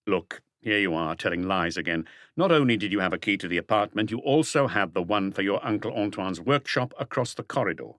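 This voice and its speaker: Man playing a low-voiced, persistent police detective. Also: lively intonation, a little fast, few pauses, a bit bright, dry recording. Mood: accusing. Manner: pointed, pressing questioning. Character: probing, persistent male police detective, firm and unhurried.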